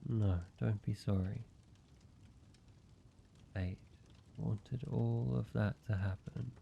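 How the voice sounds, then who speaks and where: A man voicing an ancient vampire in an intimate roleplay audio, speaking in a measured, halting, solemn way, like a weary father telling his past. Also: fairly low pitch, steady tone, creaky, dull audio, slight background noise, full character voice. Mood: somber, regretful.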